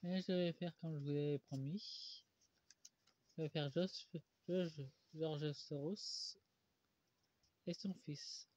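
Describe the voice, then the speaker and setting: Casting: halting tarot reader with a stuffy cold, man, somewhat high and mellow voice. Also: fairly even intonation, dry recording. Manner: halting, with many pauses and "euh" fillers. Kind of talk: solo tarot card reading. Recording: dull lo-fi recording.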